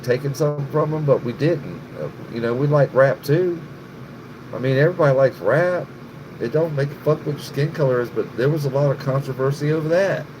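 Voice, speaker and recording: Profane rambling middle-aged man livestreaming. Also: mid-range voice. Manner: profane, rambling, addresses chat by name. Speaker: livestream host. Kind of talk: casual livestream chat with viewers. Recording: noticeable background noise, air conditioning hum.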